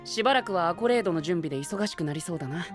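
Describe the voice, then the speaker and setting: Casting: gentlemanly young knight, formal and courteous, melodic anime drama delivery over music. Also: male voice, high-pitched, expressive, rapid-fire.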